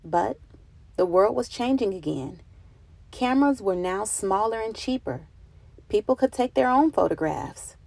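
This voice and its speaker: Creaky-voiced woman reading a children's storybook. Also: mid-range voice, expressive, a little slow, darkish tone, no echo. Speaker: children's read-aloud narrator. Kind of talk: expressive storybook narration for children.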